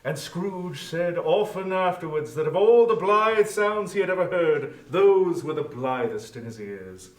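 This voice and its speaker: Male storybook narrator, high voice, expressive and measured, heard a little away from the mic. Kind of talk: scripted dramatic storybook narration. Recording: echoey room, distant from microphone.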